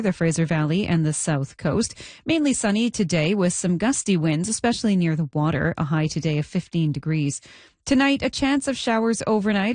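A woman reads the news headlines and weather in a crisp, even studio delivery. The recording is dry and close, with no room sound around her voice. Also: lowish voice, dry recording. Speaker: radio newsreader. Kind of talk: scripted news bulletin. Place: radio newsroom studio.

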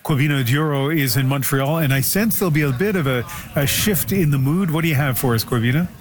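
A male news anchor, introducing stories and passing to reporters in a measured way. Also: on the high side. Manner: measured, with occasional fillers. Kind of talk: newscast anchoring.

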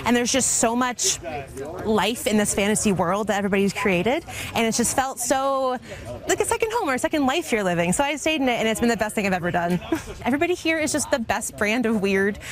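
A woman in an interview, talking in a bubbly, eager, fast-flowing way. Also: on the high side, expressive. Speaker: interviewee, role-player. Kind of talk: enthusiastic interview talk. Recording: interview with music underneath.

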